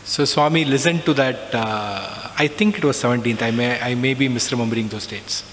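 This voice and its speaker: A middle-aged man tells a reflective personal story, often pausing with "uh" and "so". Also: medium pitch, fairly bright tone. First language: not Hindi. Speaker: alumnus giving a talk. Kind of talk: personal spiritual talk. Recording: long reverb, faint background noise.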